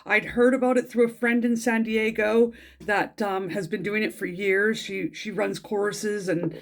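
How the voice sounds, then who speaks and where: Middle-aged woman, tarot reader, croaky with a cold, mid-pitched, rambling and effusive. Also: fairly even intonation, measured pace. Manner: rambling and gushing, many ums and restarts. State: croaky throat and snuffly from a cold. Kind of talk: casual weekly vlog chat.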